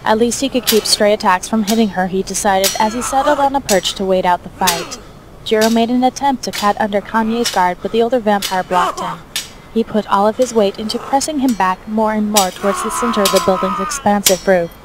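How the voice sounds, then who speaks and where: A woman's voice: medium pitch, a little fast. Woman narrating a story over noticeable noise. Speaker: fan fiction reader, narrator. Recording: noisy hobby recording, steady background noise.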